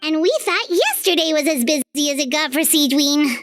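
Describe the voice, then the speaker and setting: An actress voicing a small, gentle, curious young nurse creature, a girl, in a video game. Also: high-pitched, moderate pace. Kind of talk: English video game voice acting.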